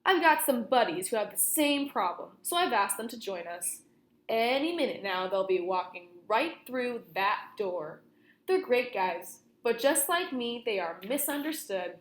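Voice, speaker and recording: A cocky storybook wolf, acted out by a woman, insists he is misunderstood. The voice sits fairly high, with a chummy, pushy, theatrical delivery in a roomy, echoing space. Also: sing-song, measured pace. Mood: chummy, persuasive. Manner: chummy, pushy, theatrical, addresses listener directly. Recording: roomy, echoing room sound.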